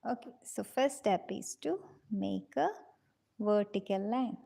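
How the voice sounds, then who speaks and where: A woman leads a live drawing class at an unhurried, careful pace. Her mellow voice carries a gravelly edge, and she stays gentle and patient, calling her students dears. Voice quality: low-pitched voice, in her own words. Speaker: drawing teacher.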